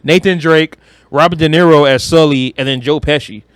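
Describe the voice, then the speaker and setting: A man's voice: fairly high pitch, fairly even intonation, moderate pace, clear, resonant tone, dry recording. A man co-hosting a podcast, chatting casually about pop culture in a slangy, opinionated way. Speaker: podcast co-host.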